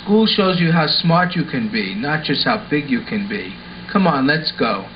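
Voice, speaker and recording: Man playing an earnest schoolboy, slightly high voice. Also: expressive, normal speed, lightly acted. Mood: earnest, instructive. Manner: explanatory, instructive. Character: earnest, sensible schoolboy explaining life to his dinosaur. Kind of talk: scripted puppet show acting. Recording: dull lo-fi sound with faint noise.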